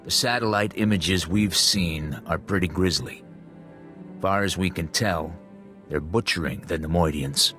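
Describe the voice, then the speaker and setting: An actor playing a grave, businesslike military officer briefing on a crisis in a video game. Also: male voice, low voice, unhurried, fairly bright tone, faint music behind. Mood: grim briefing. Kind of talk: video game cutscene acting.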